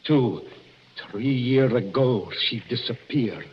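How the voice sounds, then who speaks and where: An actor playing a superstitious Italian boatman who speaks halting, ominous broken English with an Italian accent in a 1940s radio mystery. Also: medium pitch, lively intonation. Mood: haunted storytelling. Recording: muffled, noisy 1940s radio recording.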